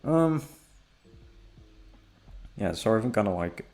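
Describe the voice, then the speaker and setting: A young man with a low, creaky voice talks quietly and slowly, as if keeping it down at home. His tone is dark and soft, and he rambles casually and hesitantly to his listeners, swearing as he goes. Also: sing-song, faint music behind. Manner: hushed, low-energy, keeping quiet for others in the house; laid-back, hesitant, swearing freely. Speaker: audio roleplay creator and host.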